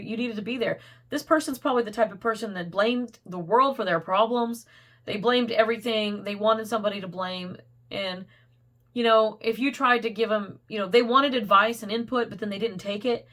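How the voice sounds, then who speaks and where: Chatty woman tarot reader, voice going hoarse. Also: a bit bright. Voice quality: getting squeaky. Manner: chatty, rambling, laughs often. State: losing her voice, getting a cold. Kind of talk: casual tarot reading to camera.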